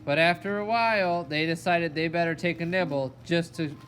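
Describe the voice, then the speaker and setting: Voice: mid-range voice, normal speed, fairly bright tone, a little hiss or hum, poor audio. A narrator telling a fairy tale in a storytelling style for a children's puppet show.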